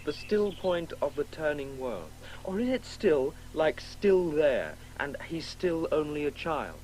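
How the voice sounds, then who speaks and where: A man's voice: a little high, very animated, unhurried, darkish tone. A male actor playing a precocious, morbidly curious boy in a 1980s radio drama, musing pedantically and matter-of-factly. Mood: musing, detached. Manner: matter-of-fact, pedantic musing about words. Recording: faintly noisy old radio broadcast.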